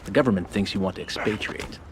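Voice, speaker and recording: An actor playing a calm, scheming American government agent in a video game cutscene, speaking in a measured, persuasive, conspiratorial way. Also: male voice, lowish voice, heavy background noise, lightly acted. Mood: businesslike, instructing.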